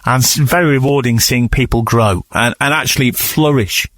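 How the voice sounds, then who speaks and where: Man, radio interviewer, medium pitch, reflective, affirming, conversational, dry studio-like sound. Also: normal speed, fairly bright tone, no room sound.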